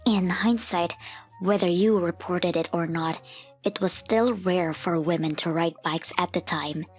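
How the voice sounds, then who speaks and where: A woman's voice: on the low side, slightly rough. A woman narrating a scripted first-person story as a grown woman soberly looking back on a family memory. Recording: music under the voice.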